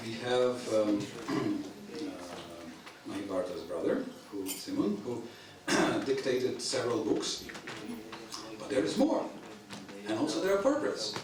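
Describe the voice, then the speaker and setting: A man giving a devotional lecture in English, which is not his first language, speaking haltingly with frequent throat clearing. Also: high voice, noisy background. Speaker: visiting preacher. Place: temple hall. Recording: echoey temple hall, mic at a distance, audience heard.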